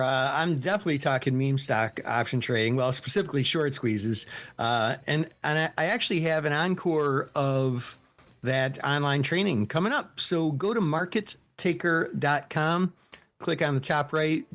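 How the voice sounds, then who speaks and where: A man's voice: fairly high pitch, a bit bright. A man co-hosting a podcast in a laid-back, casual way. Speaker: co-host. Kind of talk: casual chat.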